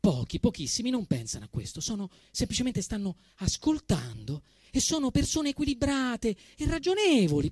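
A man with a Roman accent performing a satirical monologue on stage, emphatic and swinging between deadpan restraint and outrage. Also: high-pitched, breathy edge, no room sound, studio quality. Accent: Roman Italian. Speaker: actor performing a comic monologue. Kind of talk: theatrical satirical monologue to a live audience.

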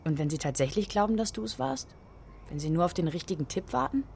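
A helpful, matter-of-fact young-sounding friend in a radio drama. Her voice is slightly low, with a lively, questioning swing. Faint ambient noise sits behind her. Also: fast talker, gravelly. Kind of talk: naturalistic radio drama acting.

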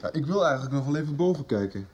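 Actor as fast, pushy teenage boy. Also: mid-range voice, animated, a little mellow, a little hiss or hum, lightly acted. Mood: pushy, eager. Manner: blunt, eager. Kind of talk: 1990s Dutch radio soap acting.

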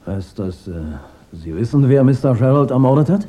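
A man playing an impatient, defensive wealthy stepfather in a German radio crime drama, with a husky voice and an agitated, demanding manner. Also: mid-range voice, animated, normal speed, dark tone, lightly acted. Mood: uneasy.

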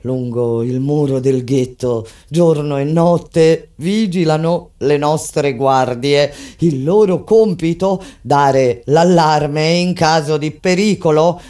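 A woman playing a resistance fighter who recounts a wartime uprising in solemn, deliberate, declamatory theatrical narration. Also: low-pitched. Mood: grave, subdued. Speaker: stage actor and narrator. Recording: loud music under the voice. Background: loud live orchestra music.

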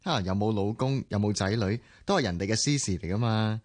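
A man's voice: fairly low pitch, fairly quick, lightly acted. An actor in his twenties with a Hong Kong Cantonese accent playing a cheeky younger brother in a radio drama, playful and teasing. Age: 20s. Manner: playful, teasing, laughs often.

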